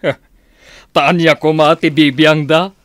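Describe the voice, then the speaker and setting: A man's voice: fairly high pitch, flat delivery, slow, a bit bright, dry recording, studio quality. A Filipino man playing an arrogant, defiant village tough in a radio drama. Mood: defiant, dismissive.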